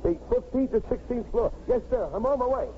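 A man's voice: very high voice, a little fast, warm tone. A man playing an urgent traffic cop making a report in a 1950s radio drama. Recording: muffled, hissy 1950s radio recording.

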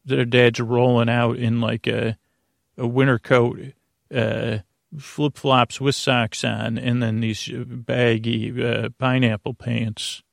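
A middle-aged man hosting a bedtime podcast, rambling haltingly through stammered restarts and tangents with gently absurd, self-deprecating humour. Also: mid-range voice, flat delivery, creaky, dry recording, clean studio sound. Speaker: podcast host.

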